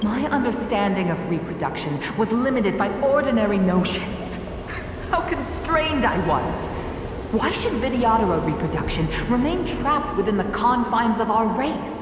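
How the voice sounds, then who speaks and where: A woman's voice: fairly high pitch, big pitch swings, moderate pace, background noise. A woman voicing a stern elder alchemist in a video game, a spectral dragon-race researcher who speaks in a formal, grandiose, scolding way. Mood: fervent, reverent. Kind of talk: dramatic video game voice acting. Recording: echoey hall reverb, distant, music behind.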